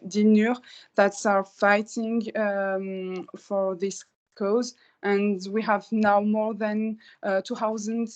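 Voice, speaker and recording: A woman hosts an interview over a video call, speaking slowly in a level, flat voice. She stumbles through her questions with frequent uhs. The sound is dull and lo-fi. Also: medium pitch. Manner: halting, filler-heavy, flat delivery.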